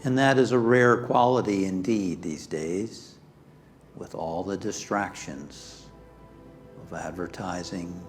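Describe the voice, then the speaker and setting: A man leading a guided meditation as a minister, speaking calmly and soothingly in short phrases with pauses, softly trailing off toward near-whispers. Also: low-pitched. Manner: calm, soothing, pausing between short phrases. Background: background music.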